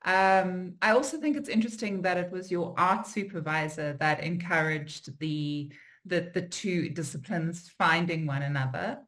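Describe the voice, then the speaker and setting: A woman's voice: on the low side, moderate pace, fairly bright tone. A woman hosting an interview, reflecting aloud in a musing way with many fillers and restarts, recorded over a video call. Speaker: interviewer host. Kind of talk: reflective conversation.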